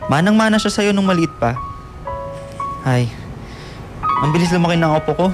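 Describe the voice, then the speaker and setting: A man plays a tender, slightly worried Filipino grandfather in a radio drama. His voice is fairly high for a man, with a gentle, sentimental manner. Guitar music runs clearly underneath. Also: lively intonation, moderate pace, natural voice. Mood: fond, nostalgic.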